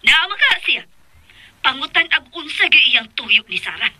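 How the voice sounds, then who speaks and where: A woman playing a stern, angry mother interrogating someone over the telephone in a radio drama, with a husky voice. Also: medium pitch, normal speed, bright, forceful tone. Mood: stern, demanding. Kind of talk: Philippine radio drama acting.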